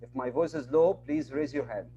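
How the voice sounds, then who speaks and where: A man's voice: medium pitch, normal speed, mellow, dull audio, a little hiss or hum. A man teaching an online class, patiently repeating each word and example for his students.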